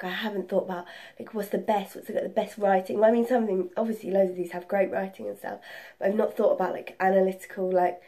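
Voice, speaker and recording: A young woman chatting casually and excitedly about books as a vlog host, rambling and correcting herself, slightly congested with a cold. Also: medium pitch. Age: young adult. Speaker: book reviewer and video host. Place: room at home.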